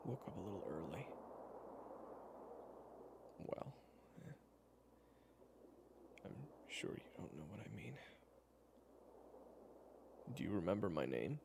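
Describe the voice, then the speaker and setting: Man acting as expressive, worried low-voiced friend. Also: slow, creaky, long pauses, noisy background, heavily acted. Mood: somber, gentle. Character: worried, exasperated male friend of an amnesiac, gently explaining. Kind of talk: audio roleplay acting, one-sided dialogue.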